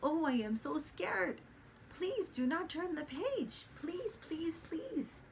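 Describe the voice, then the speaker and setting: A woman's voice: sing-song, takes it slowly, a little hiss or hum, full character voice. A woman voicing a dramatic, easily scared furry male monster who pleads in an exaggerated way. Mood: begging. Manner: exaggerated, pleading, high and loud.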